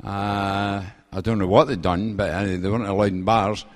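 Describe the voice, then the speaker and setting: Older Scottish man, low-pitched voice. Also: steady tone, brisk. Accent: Scottish, Glasgow area. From Scotland. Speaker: guest main speaker. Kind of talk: personal testimony speech to a gathering. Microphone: event microphone.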